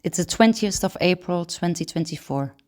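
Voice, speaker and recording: A woman from Belgium, a painter calmly reading a personal statement about her work. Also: lowish voice, normal speed.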